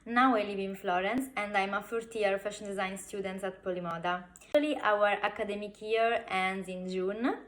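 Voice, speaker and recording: A woman in her twenties from northern Italy with an Italian accent, a fashion student answering interview questions in a measured, deliberate way. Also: mid-range voice. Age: 20s. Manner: measured, deliberate, carefully chosen words.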